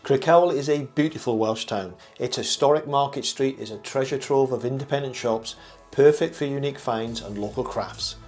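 An older man reading a scripted review voice-over as host, in a measured, formal presenter manner. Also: mid-range voice, a little mellow. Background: background music.

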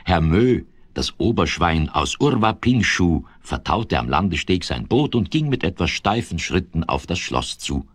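A man's voice: low voice, breathy edge, no room sound, exaggerated voice. A man narrating a children's audio play as a scripted storyteller.

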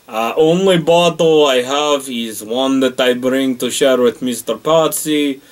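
A man's voice: mid-range voice, measured pace, distant mic, lightly acted. A man playing a boastful, jokey Russian character in a comedy monologue, speaking broken English with a Russian accent and calling himself by name. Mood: deadpan boasting. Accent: Russian (put on). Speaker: comedic character rating things.

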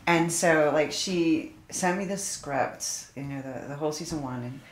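A woman who acts, chatting casually at a roundtable in a blunt, animated way, acting out her own reactions. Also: sits low, a little slow. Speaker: voice actress on a podcast panel. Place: room, group around a table. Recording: roomy raw recording, other voices around.

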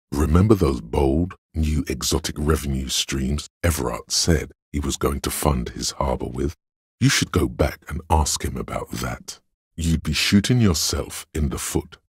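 A man voicing a game character, the inner voice of cold logic, husky and gravelly, speaking in a measured, cerebral, matter-of-fact way. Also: very deep voice, darkish tone, no echo, studio quality, full character voice. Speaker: video game voice actor. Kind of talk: scripted game narration of inner thoughts.

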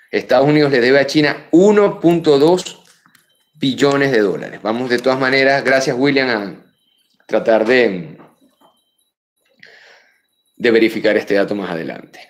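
Venezuelan male host in his thirties, mid-pitched, punchy live commentary that leaps up on key names and falls to soft asides, webcam at a desk. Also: a little slow, pauses often. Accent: Venezuelan Spanish. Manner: emphatic, rises sharply on key names, drops to quiet asides. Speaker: political show host. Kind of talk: live political commentary reading viewer messages. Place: room with full bookshelves.

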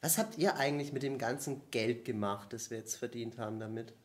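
An improv actor as a bemused man with a mid-pitched voice. He talks in an everyday way, as part of a live improvised radio play. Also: brisk, a little hiss or hum, close to an everyday voice. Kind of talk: improvised stage audio drama. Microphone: stand microphone at a table.

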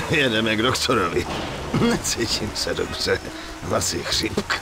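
An actor playing a wounded gangster in a video game cutscene, sounding weak and unwell. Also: male voice, fairly low pitch, lively intonation, creaky, pushed and bright, exaggerated voice. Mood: feeble. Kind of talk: dramatic game cutscene dubbing.

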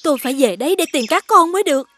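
A woman voicing a desperate, pleading mother toad in an animated fairy tale. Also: sits high, very fast, slight background noise. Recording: loud music under the voice.

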